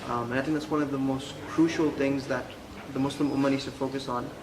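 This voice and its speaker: Young man, interview guest, flat even delivery, echoey room, noticeable background hiss. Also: medium pitch. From Pakistan, raised in the United States. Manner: measured, even, with frequent fillers and restarts. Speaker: interview guest, Quran instructor. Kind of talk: conversational interview.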